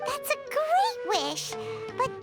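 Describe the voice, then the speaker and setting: A tiny flying game sidekick, childlike and inquisitive. The voice is extremely high and squeaky, swooping about in cartoon style over music. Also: female voice, wildly swooping pitch, slow, full character voice. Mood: curious, doubtful. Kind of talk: cartoonish anime game voice acting.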